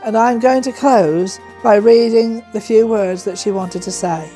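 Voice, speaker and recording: Woman, scripted documentary narration, mellow tone, faint music behind. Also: on the high side, measured pace.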